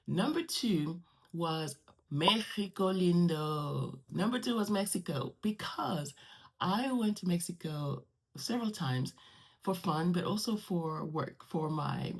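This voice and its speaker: A woman whose first language is French, chatting casually to her viewers in an animated way and laughing often. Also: fairly low pitch, takes it slowly, breathy edge. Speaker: vlogger. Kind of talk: casual chat to camera.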